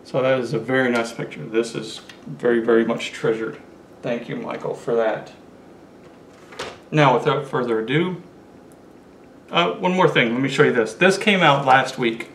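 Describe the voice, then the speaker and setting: A man's voice: medium pitch, normal speed, pauses often, fairly bright tone. A man in his forties chatting casually to camera as he holds up his collectibles one by one, recorded at a desk in a small room. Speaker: collector vlogger. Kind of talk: casual show-and-tell vlog. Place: small indoor room with curtains. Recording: roomy echo, faint room hiss.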